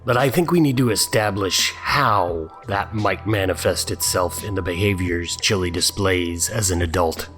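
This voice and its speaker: A man's voice: sits low, normal speed, hardly any pauses, fairly bright tone, faint music behind. A man hosting a dramatic reading, adding sarcastic, mocking commentary of his own. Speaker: host.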